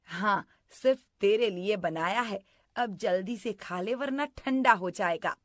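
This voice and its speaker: A woman playing a cruel, scheming stepmother in an animated story, scolding or putting on sweetness. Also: mid-range voice, measured pace, dry recording, clean studio sound, lightly acted. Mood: falsely sweet. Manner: scolding, plotting, falsely sweet. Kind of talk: animated story character acting.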